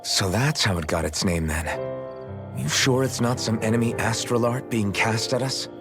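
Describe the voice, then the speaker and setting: A man voicing a steady, thoughtful young warrior in a video game cutscene, frank and questioning. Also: mid-range voice, moderate pace, close to an everyday voice. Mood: curious, mild. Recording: music behind the voice.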